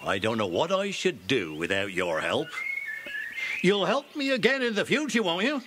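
An actor playing a kindly, commanding police inspector in an audio drama, firm and genial. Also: male voice, a little high, sing-song, normal speed, background noise.

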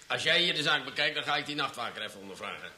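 An actor playing an irritable, sarcastic senior detective in a Dutch radio play, grumbling and bossy. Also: male voice, medium pitch, lively intonation, racing, bright, forceful tone, husky, full character voice. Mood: brusque, impatient.

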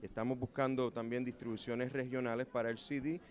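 A man in his forties from New Jersey talks about his music work, earnest and pausing often to find his words. His voice has an ordinary middle pitch. He is recorded on a handheld mic at an indoor expo booth. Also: flat delivery. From New Jersey, USA. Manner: earnest, with frequent hesitations. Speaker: musician and producer. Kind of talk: interview answers.

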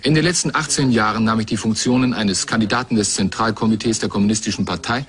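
A man's voice: fairly low pitch, fast, a bit bright. A man giving a scripted documentary voice-over of interview testimony as a translator.

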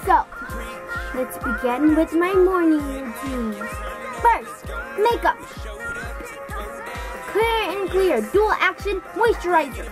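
A young woman in her bedroom filming a relaxed morning routine, explaining each step. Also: extremely high pitch, takes it slowly, pauses often. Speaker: vlogger. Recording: loud background music.

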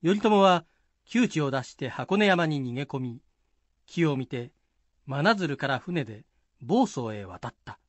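Higher-pitched male narrator, dry sound. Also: animated, a little slow, dry recording. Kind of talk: scripted narration of a historical tale.

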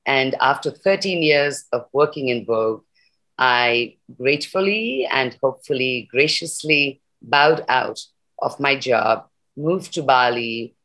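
A woman's voice: rumbling low, fairly bright tone. A middle-aged woman from Nepal, raised in India, speaking earnestly and passionately as a guest on a video call. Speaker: guest, sustainability activist. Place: room at home. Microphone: laptop webcam on a video call.

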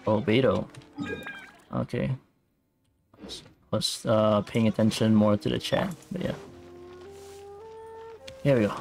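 A man with a gravelly, creaky voice gives casual game commentary on a livestream. He talks very slowly and in a relaxed way, with faint game music behind him. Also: on the low side. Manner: relaxed, reading and reacting aloud. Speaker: game streamer. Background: soft game music.

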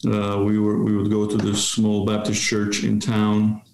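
Man, fifties, measured storytelling, low, fairly flat pitch, roomy echoing video-call sound. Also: vocal fry. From Romania. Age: 50s. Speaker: guest, seminary professor and pastor. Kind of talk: autobiographical interview answer. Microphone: webcam video call.

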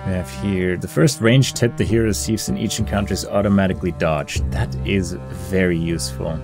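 A man's voice: sits low, fairly even intonation, brisk. A man giving casual game commentary, musing and weighing his options aloud in a hesitant way. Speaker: gaming video creator. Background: game music.

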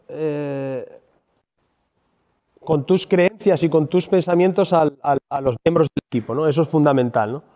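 A man in his forties from Asturias, speaking on a conference stage into a handheld mic, halting and repeating words and ending phrases with a questioning tag. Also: mid-range voice, dark tone. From Asturias, Spain. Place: large conference hall. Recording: dull sound with long hall reverb.

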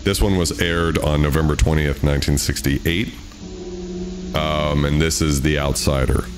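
Very deep-voiced man chatting on a livestream. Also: measured pace. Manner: relaxed, informal, profane. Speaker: streamer hosting a spooky story night. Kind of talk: casual livestream chat. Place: small indoor room at a streaming setup. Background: loud music.

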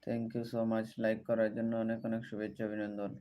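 A man's voice: fairly low pitch, completely flat delivery, fairly quick, highs cut off. A man hosting a livestream, greeting viewers and repeating set-phrase appeals to them. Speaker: livestream host. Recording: noticeable background noise.

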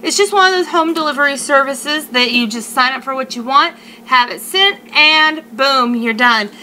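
Female food vlogger, 30s, high and bright voice, chatty and emphatic, casual home webcam review. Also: normal speed, poor audio. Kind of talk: casual unboxing review to camera. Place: living room at home. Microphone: webcam or laptop mic at arm's length. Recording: faint noise, home webcam sound.

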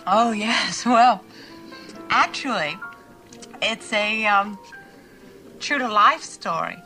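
A woman's voice: mid-range voice, lively intonation, slow pace, bright, forceful tone, soft background music, full character voice, poor audio. A woman playing a coy, flirtatious shopkeeper with a scheming streak in a mystery film, giggly and hesitant. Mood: coyly threatening. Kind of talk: television film mystery acting.

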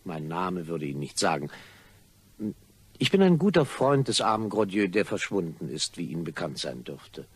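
A man acting in a German crime radio play as a sly gentleman blackmailer, husky, probing and mock-courteous. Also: low-pitched, sing-song, moderate pace, exaggerated voice. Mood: smoothly insinuating. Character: sly, insinuating gentleman blackmailer, smoothly polite.

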